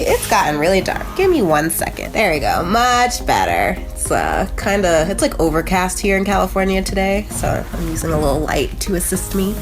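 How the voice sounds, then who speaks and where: An American woman with a nasal, congested voice, hosting a casual motivational vlog in a chatty, conversational way with frequent asides. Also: lowish voice. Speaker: host of a motivational video channel.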